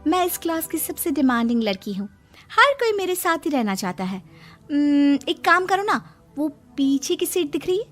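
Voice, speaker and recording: Woman playing a high-pitched, dramatic cartoon college girl. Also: big pitch swings, a bit bright, faint music behind. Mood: smug. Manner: exaggerated, drawn-out, girlish. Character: dramatic college girl, whiny and boastful about her curly hair.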